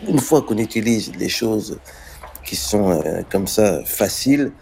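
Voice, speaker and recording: A man's voice: fairly low pitch, animated, slow, vocal fry, a little mellow, a little hiss or hum, low-quality recording. A man giving a frank, casual interview answer with hesitations and fillers. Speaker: interview guest.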